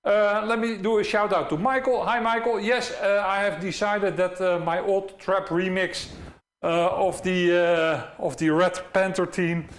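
Dutch man in his fifties, high voice. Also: steady tone, a little slow. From Netherlands. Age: 50s. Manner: chatty, frequent "uh" fillers. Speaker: DJ educator hosting a live stream. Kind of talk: live stream talk to chat. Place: home studio room.

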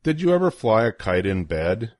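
Older male storybook reader, lowish voice, very animated, dry close sound. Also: moderate pace, dry recording. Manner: playful, sing-song rhythm with swooping emphasis. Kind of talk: expressive read-aloud of a children's rhyming picture book.

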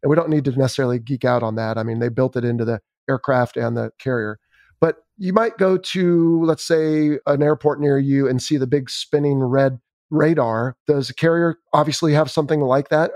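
A man's voice: mid-range voice, fairly quick, darkish tone, dry recording, studio quality. A man hosting a podcast interview in a casual, wry, conversational way, talking like a former military pilot. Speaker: podcast host, former Navy fighter pilot.

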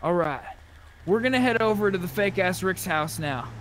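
A man's voice: high voice, lively intonation, moderate pace, a bit bright, poor audio. A man playing an online roleplay game, chatting casually with a bantering, mock-tough manner and some swearing.

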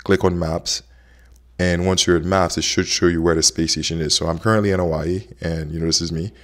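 A man's voice: low-pitched, fairly even intonation, a little fast. A man in his thirties from Haiti, hosting a casual explainer vlog in a conversational way. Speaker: host, app co-founder.

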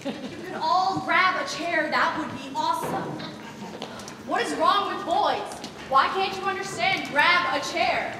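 A woman's voice: very high-pitched, unhurried. A teenage girl playing a bossy, exasperated girl who orders others around in an amateur stage play, recorded from the audience. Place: theater stage in large auditorium. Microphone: far camera in audience. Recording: very noisy echoey auditorium, distant camera.

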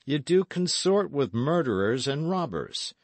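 A man's voice: a little high, sing-song, unhurried, no echo, voice pushed up, full character voice. A man voicing a stern but fair-minded English earl in an audiobook, with formal, weighty, archaic speech. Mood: stern, grave.

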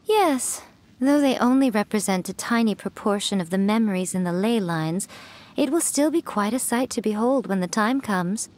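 A woman's voice: mid-range voice, expressive, normal speed, darkish tone, a little hiss or hum, close to an everyday voice. A woman as a sly teasing fox priestess. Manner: teasing, amused, playful. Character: sly centuries-old fox spirit shrine priestess, teasing and smug. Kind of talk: video game voice acting.

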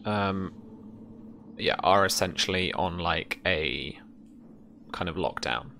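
A young man improvising tabletop game narration as game master, halting and thinking aloud. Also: low-pitched, bright, forceful tone, slight background noise. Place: small room at home, indoors.

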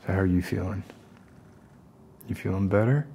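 A middle-aged man acting an unstable, falsely gentle survivalist in a soft-spoken ASMR roleplay, rambling haltingly. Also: sits low, gravelly, a little hiss or hum. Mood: tender, earnest. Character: unstable, falsely gentle self-appointed protector, survivalist loner.

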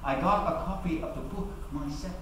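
A middle-aged man giving a theatrical dramatic reading of a courtroom speech, declamatory and oratorical, with deliberate, emphatic phrasing. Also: on the high side, a bit bright. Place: large hall, at a lectern. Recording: very echoey hall, distant mic, faint noise.

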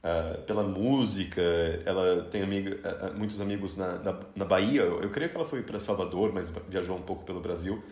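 A man's voice: deep voice, fairly quick, hardly any pauses, darkish tone, reverberant. A man in his thirties from Brazil hosting a casual interview, chatty, with frequent restarts and easy laughter. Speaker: podcast host interviewing a guest.

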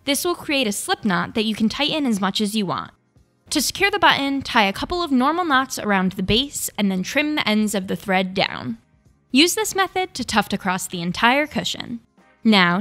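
A woman's voice: mid-range voice, fairly bright tone. A young woman hosting a craft tutorial, reading scripted step-by-step instructions. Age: young adult. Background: background music.

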